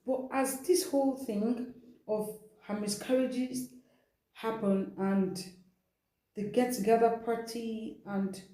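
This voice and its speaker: Woman, very dark mellow tone, conversational storyteller, roomy echoing recording. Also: a little high, fairly even intonation, takes it slowly. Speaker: storytelling host. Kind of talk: informal storytelling from a listener's account.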